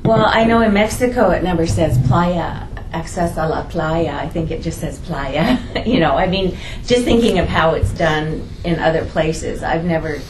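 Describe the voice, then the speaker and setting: A woman's voice: lowish voice, normal speed, hardly any pauses, reverberant, noisy background. A woman in a committee meeting, talking casually and hesitantly, often trailing off. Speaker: committee member. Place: meeting room.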